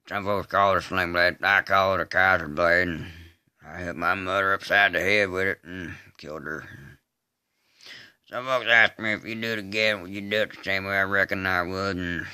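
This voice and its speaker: A man performing a film-style monologue as a slow, simple-minded rural killer, unrepentant, in a halting deadpan Southern US drawl with grunted hums. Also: low-pitched, smooth, no echo, clean studio sound. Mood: flat, matter-of-fact. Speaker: amateur voice artist. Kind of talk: dramatic monologue re-enactment of a film scene.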